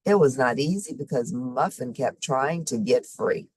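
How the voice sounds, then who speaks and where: Woman reading a kids story, deep for a lady, super expressive, dry close sound. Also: a little slow, slightly rough, dry recording. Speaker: storybook reader. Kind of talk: expressive children's story narration.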